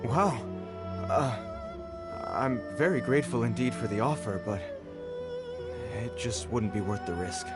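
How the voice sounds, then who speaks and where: An actor playing a dutiful city guard soldier who speaks formally and earnestly, with game music behind him. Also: male voice, mid-range voice, close to an everyday voice. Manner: formal, measured and proper. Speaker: game character.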